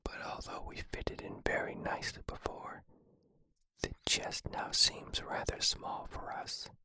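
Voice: measured pace, sharp tone, no echo. A reader voicing a nervous, courteous male storybook bandit in a whisper. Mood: apologetic strain.

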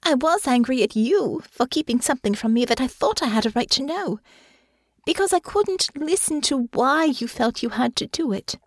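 An earnest young woman, as a female narrator plays her, speaking in a high, lively voice. Her phrasing is polite and formal, and her feelings show openly in a story reading. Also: normal speed, dry recording, studio quality. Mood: earnest, rueful. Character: earnest, well-spoken young woman, emotional but forgiving.

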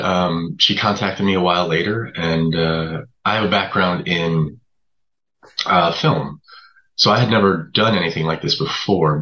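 A man speaking as a guest writer in an interview, conversational and a little hesitant, pausing often. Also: low-pitched, sharp tone, lo-fi sound. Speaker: guest writer of audio drama.

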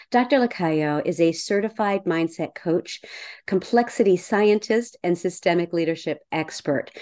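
A woman's voice: on the low side, a little mellow. A woman hosting a podcast, reading an upbeat scripted intro and then asking encouraging interview questions. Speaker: podcast host.

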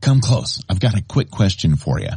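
A man reading a scripted podcast promo in a lively, confiding pitch. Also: normal speed, creaky, breathy edge, no room sound. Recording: promo with music bed behind. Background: music.

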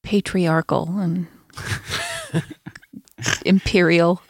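A woman's voice: fairly low pitch, huge pitch swings, very slow, slight background noise. A woman from the United States chatting casually as a guest improviser. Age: late 20s or older. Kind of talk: casual chat. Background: faint music.

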